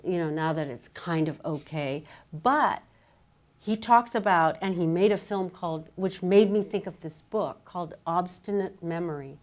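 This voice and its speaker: A woman with a low voice leads a literary conversation as a fellow writer. She muses aloud in a halting, informal way, often breaking off for small asides.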